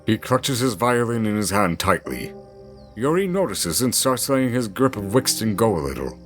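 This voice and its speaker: Guy narrating a dramatic story, really lively intonation, mid pitch, music behind him. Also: normal speed. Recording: music under the voice.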